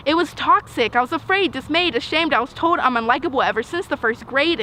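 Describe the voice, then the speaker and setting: A young woman in her teens performing rhythmic spoken word poetry, earnest and emphatic, with dramatic pauses. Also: fairly high pitch, sharp tone, low-quality recording. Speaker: slam poet. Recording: outdoor urban noise, passing vehicles.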